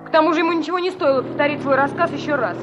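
A woman's voice: high voice, rapid-fire, natural voice. An actress in a Soviet radio play as a headstrong, idealistic young woman who talks back. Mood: defiant. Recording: dull radio recording, loud music, passing car.

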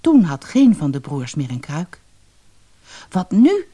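A storyteller narrating a fairy-tale audiobook in an expressive way. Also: mid-range voice, normal speed. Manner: expressive storytelling, acting out dialogue.

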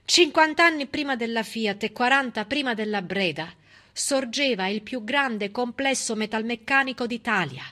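A woman performing a theatrical narrative monologue as a stage storyteller, emphatic, with dramatic pauses and an ironic edge. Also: fairly high pitch, bright, forceful tone.

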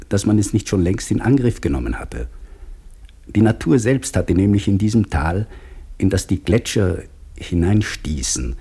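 Uneasy learned man telling his story in a radio play, deep husky voice, takes his time, measured. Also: warm tone, heavily acted. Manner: measured, reflective, dropping to near whisper. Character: anxious geology professor starting over as a dam labourer. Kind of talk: German radio play first-person narration.

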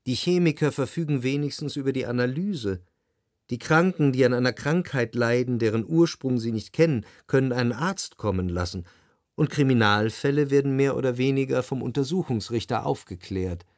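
An actor playing a reflective, well-to-do narrator in a radio play, telling of society life in measured, deliberate phrases. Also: male voice, mid-range voice, darkish tone, no echo, close to an everyday voice. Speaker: first-person narrator of a literary radio drama. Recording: dry studio with clear music. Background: music.

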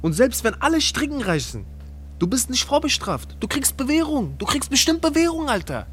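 A man's voice: very high voice, huge pitch swings, normal speed, a little hiss or hum, exaggerated voice. An actor playing a brash, teasing young male drug dealer in a radio drama, pushy and crude in streetwise slang.

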